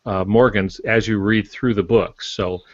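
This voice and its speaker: An older man co-hosting a podcast, explaining casually in measured, hesitant phrases. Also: fairly low pitch, steady tone, no echo, highs cut off. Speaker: podcast co-host.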